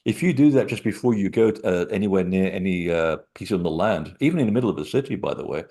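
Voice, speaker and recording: A man from Portugal, a guest author, telling digressive stories in an animated way from his small apartment living room over a computer call. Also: deep voice, fast talker. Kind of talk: rambling storytelling interview.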